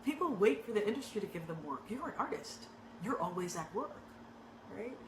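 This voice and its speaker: Woman acting coach, brisk teaching talk, mid-range voice, recorded in a reverberant room. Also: mid-range voice, background noise. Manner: conversational, frequent rhetorical "right?" tags; animated and emphatic. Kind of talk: direct teaching talk to actors. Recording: echoey room with faint ambience.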